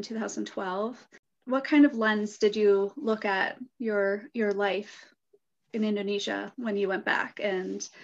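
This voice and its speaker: A woman hosting a conversational interview in an informal, affirming way. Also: mid-range voice. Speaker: podcast host interviewing a guest. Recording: dull, lo-fi audio.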